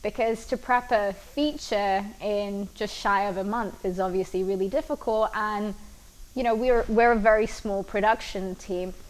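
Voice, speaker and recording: A woman's voice: mid-range voice, fairly even intonation, unhurried, poor audio. A woman film producer speaking earnestly and reflectively in an interview. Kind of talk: casual interview talk.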